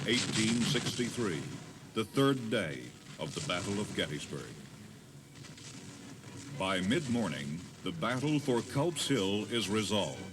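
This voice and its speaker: A man narrating a dramatized history tour in a solemn, measured, scripted storytelling style, on an old cassette recording. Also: on the low side. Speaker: narrator of a battlefield audio tour. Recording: noisy 1980s cassette tape.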